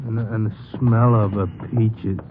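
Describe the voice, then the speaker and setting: An actor playing a desperate, unhinged ex-convict who stammers, pleads and rambles in a 1950s radio drama. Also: male voice, lowish voice, little pitch movement, normal speed, close to an everyday voice. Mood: dreamy, rambling. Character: desperate, unhinged older ex-convict haunted by lost money. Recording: muffled, noisy 1950s radio broadcast.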